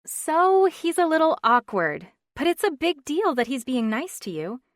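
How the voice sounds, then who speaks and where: A woman voicing an excitable young woman friend chatting on the phone, gushing and teasing, in a dry, close reading. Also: sits high, measured pace, no echo, voice pushed up. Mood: excited.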